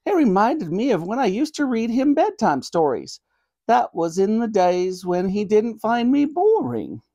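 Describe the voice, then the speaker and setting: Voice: mid-range voice, big pitch swings, a little slow, mellow, voice pushed up. A reader voicing a kindly, apologetic elderly grandmother who speaks earnestly and politely in a children's story. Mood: sheepish, confessing.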